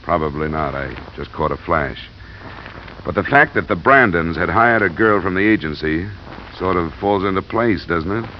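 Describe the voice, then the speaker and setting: An actor playing a steady, probing, dryly wry insurance investigator in 1950s radio drama style, with clipped hardboiled questioning and narration. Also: male voice, low-pitched, normal speed, slight background noise. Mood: businesslike. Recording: muffled vintage radio recording, music underneath.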